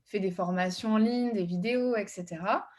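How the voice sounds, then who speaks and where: A woman's voice: medium pitch, moderate pace, a little mellow. A woman hosting a podcast in a casual, familiar conversational way. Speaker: podcast host. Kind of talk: casual chat.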